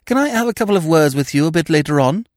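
A man's voice: on the high side, animated, fast talker, no room sound, studio quality, pitched above the performer's own voice, natural voice. A man voicing a nervous young man who blurts his words hastily. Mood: anxious. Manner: hasty, anxious blurting.

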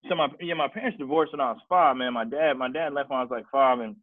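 A man's voice: medium pitch, quick pace, dry recording. Tinny-sounding man telling his story. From Kansas City, Missouri. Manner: relaxed, storytelling with many restarts. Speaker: guest. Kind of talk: casual podcast chat. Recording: dry, tinny phone-like sound.

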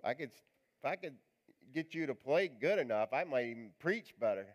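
A male pastor with a raspy voice, preaching a live church sermon in a chatty, joking, rambling way. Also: medium pitch, lively intonation, normal speed. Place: church sanctuary.

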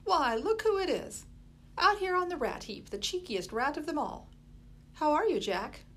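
A woman doing a shrill, brisk market woman. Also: very animated. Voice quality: loud and shrill. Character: cheery working-class London woman, brisk and motherly.

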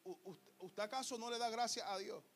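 A man's voice: sits high, fairly quick. A young man preaching a fervent sermon, repeating words and calling the congregation beloved. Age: young adult. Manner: fervent, repetitive, calls listeners "amado". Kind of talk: Pentecostal sermon.

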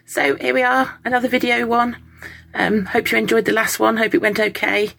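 Croaky woman with a summer cold. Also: fairly high pitch, fairly quick. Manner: steady, explains terms plainly, apologetic about her voice. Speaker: podcast co-host. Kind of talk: casual explanatory talk.

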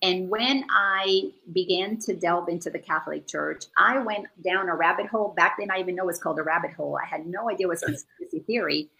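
Gravelly-voiced earnest woman on a call. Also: lowish voice, fairly quick, hardly any pauses, sharp tone, poor audio. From Dominican Republic. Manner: earnest, searching, repeats words as she thinks. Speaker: guest. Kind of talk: casual spiritual chat.

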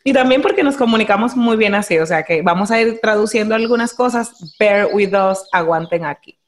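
Lively Dominican woman podcast host. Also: mid-range voice, brisk. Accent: Dominican Spanish. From Dominican Republic. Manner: animated, mixes in English phrases. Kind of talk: casual podcast conversation.

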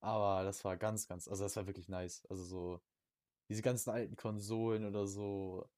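A man's voice: fairly low pitch, little pitch movement, moderate pace, warm tone, dry recording. A young man chatting casually as a podcast co-host, rambling in slang and often repeating his words. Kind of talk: casual chat.

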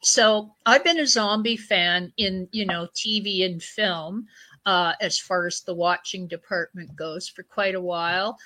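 A woman from British Columbia, Canada, a podcast guest in casual chat, chatty and quick to laugh. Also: lowish voice, sharp tone, poor audio.

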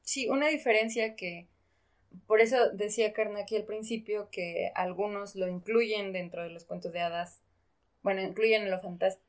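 A woman's voice: medium pitch, moderate pace. A woman co-hosting a casual discussion about literature, explaining things and picking her words carefully. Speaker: podcast co-host.

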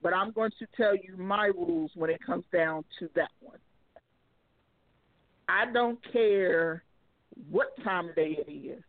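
A woman's voice: on the low side, measured pace, pauses often, no echo. A woman in her thirties, a single mother, talking bluntly and laughing often in a casual call-in chat. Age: 30s. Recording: dry tinny phone line.

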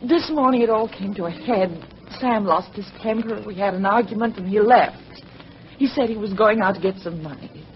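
Worried, timid young wife, female actor, halting and fretful, vintage radio acting, dull sound with faint noise. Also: medium pitch, lightly acted. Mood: worried confiding. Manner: hesitant, fretful, breaking off mid-sentence. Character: anxious, timid, apologetic young wife and mother. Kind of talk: old-time radio drama acting. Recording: muffled, noisy old radio recording.

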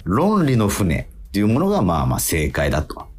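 A man hosting a casual radio talk, posing quiz questions, haltingly repeating himself and slowing down to stress key phrases. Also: deep voice, wildly swooping pitch, darkish tone, soft background music.

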